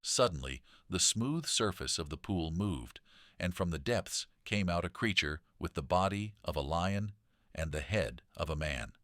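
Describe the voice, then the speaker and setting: A man reading a children's story aloud in an expressive, dramatic storytelling manner. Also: low voice, measured pace, no echo, studio quality. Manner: dramatic, animated delivery.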